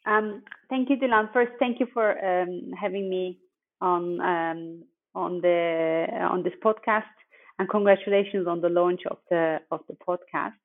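A woman, speaking as an invited author on a podcast. She talks thoughtfully, filling gaps with hesitations and restarting phrases. Her fairly low voice arrives thin and narrow, like a phone line. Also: steady tone. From Turkey. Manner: hesitant, many pauses and restarts. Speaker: guest author. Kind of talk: podcast interview answers.

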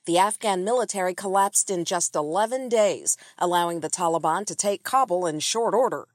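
A woman's voice: fairly low pitch, moderate pace, no room sound, studio quality. A woman hosting an interview podcast, moving between scripted introduction and questions to guests. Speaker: podcast host.

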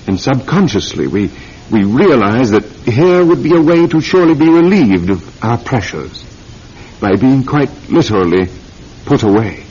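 Husky male voice, proud gentleman doctor, formal, sweeping intonation, radio drama, muffled sound. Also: medium pitch, sing-song. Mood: grave, analytical. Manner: theatrical, formal, halting for emphasis. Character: proud, analytical titled British surgeon confined for attempted murder. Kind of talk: classic radio drama acting. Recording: muffled old radio recording.

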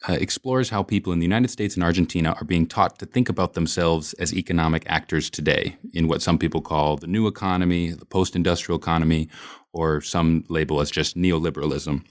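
Male host, very low voice, earnest academic podcast intro, some filler words. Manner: earnest, with frequent ums and uhs. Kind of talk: scripted introduction then interview questions.

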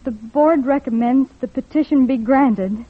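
Casting: earnest, emotional young woman in vintage radio drama, higher voice, thin muffled recording. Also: a little slow. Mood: earnest, confessing. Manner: pleading, emotional. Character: earnest, anguished young female welfare officer. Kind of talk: old-time radio drama acting.

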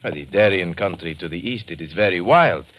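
A man's voice: low-pitched, expressive, a little fast, highs cut off. A man playing a courteous, cautious Panamanian police lieutenant with a Latin American Spanish accent, formal and hesitant, in old radio drama style. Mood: warning. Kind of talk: 1940s radio drama acting.

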